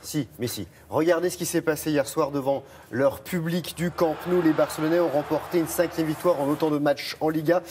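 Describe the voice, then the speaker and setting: A man with a slightly high voice runs through the morning sports results. He trips over player names and laughs at his own slips. There is a faint hum of ambience behind him. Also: steady tone, a little fast, hardly any pauses. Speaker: sports news presenter. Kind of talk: morning TV sports news, joking with co-host.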